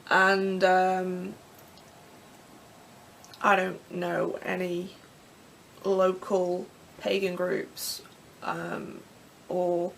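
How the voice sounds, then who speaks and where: A young Englishwoman with a croaky throat, rambling casually through vlog questions from her bedroom with plenty of ums and restarts. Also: fairly low pitch, monotone, very slow, slight background noise, poor audio. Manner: chatty, self-deprecating, many ums and restarts. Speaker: pagan vlogger. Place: bedroom in front of her altar.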